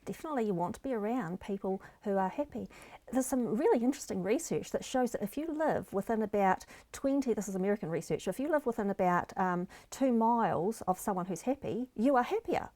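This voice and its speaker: A woman's voice: mid-range voice, expressive, brisk, mellow, dry recording. A middle-aged woman with a New Zealand accent, a guest explaining happiness and resilience in an earnest, encouraging way. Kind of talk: interview conversation.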